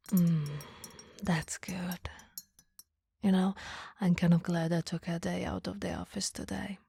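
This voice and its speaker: A woman playing a flirty, doting boss in an ASMR roleplay, soft, whispery and intimate, close to the mic. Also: lowish voice, level tone, full character voice. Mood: gossipy, confiding. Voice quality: breathy, whispery. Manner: soft, slow, intimate, teasing. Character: flirty, doting female boss visiting her employee. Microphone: close-up microphone.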